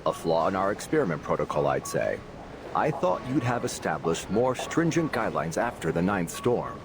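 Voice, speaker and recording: A man's voice: sits low, sing-song, moderate pace. An actor playing a pedantic, unflappable male researcher in a video game, wordy and dryly needling. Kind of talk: dramatic video game voice acting. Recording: clear background ambience noise.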